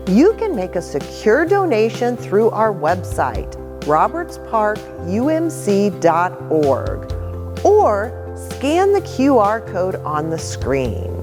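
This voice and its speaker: An American woman preacher explaining things simply to children as a story-time host. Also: mid-range voice.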